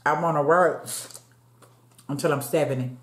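A woman's voice: low voice, steady tone, moderate pace. Late-50s woman chatting n eating on cam at home, roomy echo. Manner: chatty, talks to viewers as y'all, eating between words. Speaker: mukbang vlogger. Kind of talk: casual chat while eating. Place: dim room at home, seated at a table. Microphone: fixed camera across the table.

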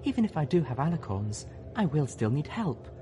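A poised and determined lady unicorn, played by a male narrator, from a storybook reading. The manner is formal and compassionate, the pitch raised and expressive. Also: fairly quick, slight background noise. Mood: resolved.

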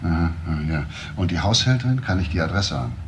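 A man playing a courteous, probing homicide detective in a crime drama, speaking in a measured, polite way. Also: sits low, expressive, noisy background. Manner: measured, polite, hesitant at times. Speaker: actor. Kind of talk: German TV crime drama dialogue.

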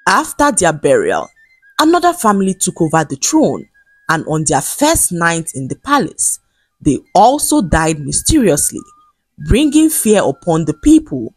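A Nigerian-accented woman narrating a scripted folktale as a storyteller, with measured, expressive phrasing. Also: fairly low pitch, breathy edge, no echo.

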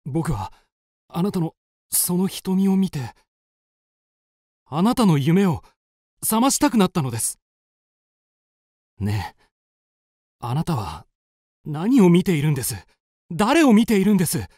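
Guy doing courteous intense suitor for radio drama, husky voice, pitch moving a lot. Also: very animated, measured pace, long pauses, a little mellow, dry recording, studio quality, exaggerated voice. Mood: earnest urging. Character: earnest, courteous Japanese man, a romantic suitor.

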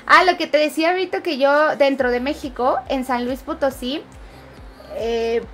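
A woman's voice: high-pitched, moderate pace, a bit bright, faint music behind. Chatty Mexico City woman streamer. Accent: Mexico City Spanish. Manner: chatty, reads and answers viewer comments while gaming. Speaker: game streamer. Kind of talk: casual live stream chat. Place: home streaming room, indoors. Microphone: headset.

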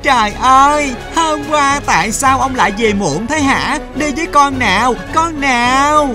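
Shrill, scolding cartoon wife. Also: female voice, a little slow. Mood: furious. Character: furious, jealous nagging cartoon wife. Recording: music behind the voice.

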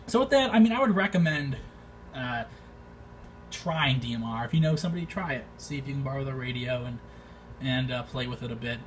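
A man giving his opinions in an informal vlog, thinking aloud in a hesitant, halting way. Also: medium pitch. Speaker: amateur radio video maker. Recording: distant mic, faint background noise.

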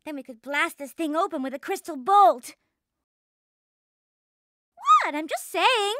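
A bubbly teen heroine. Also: female voice, very high voice, moderate pace, no room sound, heavily acted. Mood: excited. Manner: excitable and chatty. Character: bubbly, impulsive teenage heroine.

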